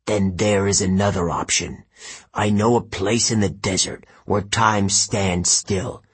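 A man voicing an anxious, brooding god of the dead, deep, husky and pleading. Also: fairly even intonation. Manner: pleading, grave, slow.

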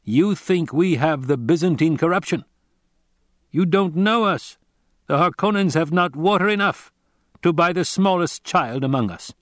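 A man reading an audiobook, voicing a blunt, cryptic male desert tribesman who speaks slowly and asks direct questions. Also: fairly high pitch, animated, a bit bright, no echo, studio quality, voice pushed up. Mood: stern, blunt. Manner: slow, measured, direct questioning. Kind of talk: audiobook character voice.

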